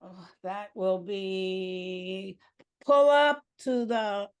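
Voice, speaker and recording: A performer doing a drive-through order taker on a broken speaker box in a comic script read. The words come out extremely slowly, drawn out and garbled. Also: mid-range voice, fairly even intonation, smooth. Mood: garbled, formal.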